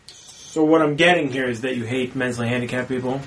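Mid-pitched man chatting casually. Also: a little fast, fairly bright tone, poor audio. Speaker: panelist on a movie discussion show. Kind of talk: casual group chat.

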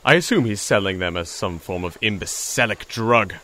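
A man's voice: lowish voice, lively intonation, moderate pace, sharp tone, slight background noise. A man voicing a pompous, formal and brave toad in an audio drama, speaking in a grandiloquent way. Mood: earnest.

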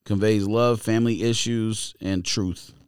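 A man's voice: lowish voice, steady tone, measured pace, smooth, dry recording, studio quality. A man debating casually on a podcast, insistent and matter-of-fact as he corrects people. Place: podcast studio.

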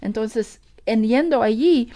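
A woman's voice: on the high side, takes it slowly, warm tone. Woman from Mexico mixing in English. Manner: mixes in English fillers, light laughs. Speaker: guest sharing travel experience. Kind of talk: casual group conversation.

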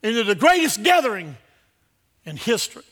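A male preacher with a high, forceful voice delivers a sermon to his congregation. He leans on repeated phrases for emphasis. His delivery moves between quieter asides and loud, urgent declarations. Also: very animated, normal speed.

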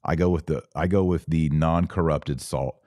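Deep-voiced man chatting on a livestream. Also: moderate pace, mellow, no echo, studio quality. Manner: informal, emphatic, repeats phrases for emphasis. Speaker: podcast host. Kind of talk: casual livestream chat answering viewer comments.

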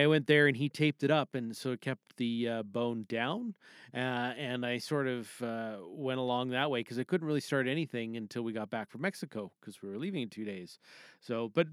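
Clear-voiced Canadian man chatting casually. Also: medium pitch, no echo, clean studio sound. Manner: rambling, conversational. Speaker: podcast host. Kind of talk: casual chat. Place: home podcast booth.

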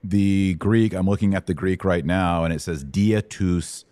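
A man's voice: low voice, steady tone, unhurried, mellow, no room sound, studio quality. A middle-aged American man hosting and teaching in a casual live Bible Q&A, with a folksy, informal manner.

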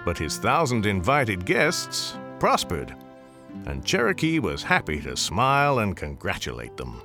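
An actor narrating a radio storybook tale of the Old West as a wry, courtly storyteller. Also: male voice, lowish voice, wildly swooping pitch, unhurried, heavily acted. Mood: wry storytelling. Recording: music behind the voice.

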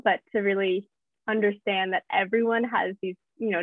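A woman's voice: mid-range voice, no room sound. A young woman co-host talks in a friendly, easy way, leaning on filler phrases. Her voice comes through thin and phone-like, with a dry sound. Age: young adult. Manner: friendly, with many you-knows. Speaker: podcast co-host. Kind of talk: casual interview chat. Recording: tinny phone-like audio.

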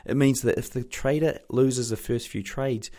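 A New man hosting a podcast, chatty and rambling with many fillers, his voice a little croaky from a sore throat. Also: mid-range voice, steady tone, normal speed, dark tone. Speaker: podcast host. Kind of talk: casual podcast intro.

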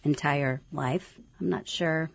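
A Californian woman telling how she met her husband, soft and a little hesitant. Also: deep voice, slow, gravelly. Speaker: interview guest, pastor's wife. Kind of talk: personal storytelling. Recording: muffled, dry radio studio sound.

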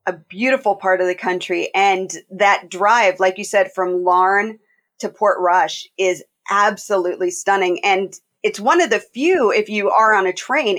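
A woman's voice: medium pitch, fairly bright tone. A woman hosting a podcast interview, enthusiastic and asking open questions. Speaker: podcast host. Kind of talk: casual interview.

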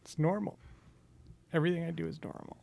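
A man's voice: on the high side, steady tone, quick pace, creaky, mellow. A man hosting a podcast, chatting in a casual, teasing, informal way and joking as he asks questions. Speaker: podcast host. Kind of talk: casual joking interview chat.

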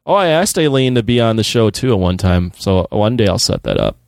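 A man's voice: fairly low pitch, very animated, fairly quick, vocal fry, dry recording, clean studio sound. A man in his thirties hosting a podcast, laid-back and chatty, laughing often. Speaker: podcast host. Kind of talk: casual chat.

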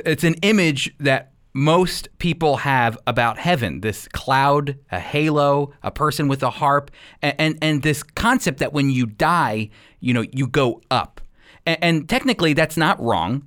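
A man hosting a radio program as a Bible teacher, speaking with deliberate, emphatic phrasing. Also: medium pitch, a bit bright.

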